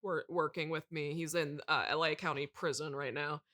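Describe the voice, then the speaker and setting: A woman's voice: sits low, steady tone, moderate pace, bright, forceful tone. A woman playing a blunt, domineering, morbid horror novelist in an improvised comedy podcast interview. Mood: matter-of-fact, morbid. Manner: blunt, needling, dramatic.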